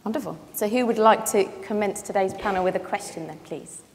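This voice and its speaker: A woman moderating a panel, brisk and polite, saying please often. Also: mid-range voice, creaky. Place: conference room. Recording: hall reverb with faint noise, from far off.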